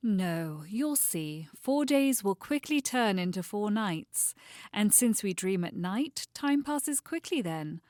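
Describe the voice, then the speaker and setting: Woman playing a soothing royal bride, mid-pitched. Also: sing-song, slow pace, a little mellow, no echo, studio quality. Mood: soothing, dreamy. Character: calm, reassuring royal bride-to-be. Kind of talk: modernized Shakespeare audio drama acting.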